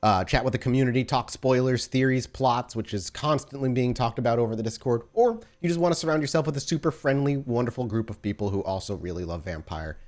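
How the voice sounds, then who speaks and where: A man's voice: medium pitch, quick pace, few pauses, a little mellow. Casual man hosting a podcast. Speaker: game master and podcast host. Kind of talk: casual chat and announcements.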